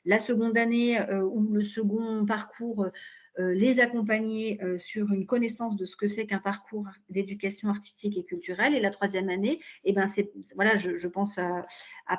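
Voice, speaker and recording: A woman explaining policy in a webinar, haltingly searching for her words. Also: medium pitch. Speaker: local government cultural policy official, panel speaker. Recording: dull, lo-fi online call audio.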